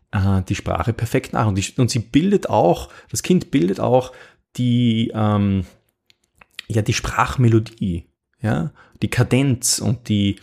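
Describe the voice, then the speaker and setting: A man's voice: on the low side, vocal fry, a little mellow. A middle-aged man with an Austrian accent hosting a podcast in a casual chatty way, full of fillers, restarts and rhetorical "ja?". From Upper Austria. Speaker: podcast host.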